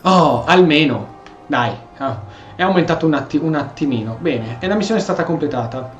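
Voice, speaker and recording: A man's voice: fairly high pitch, animated, fairly quick. A man with an Italian accent doing casual, informal gameplay commentary as a video host. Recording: dull, roomy sound, music behind.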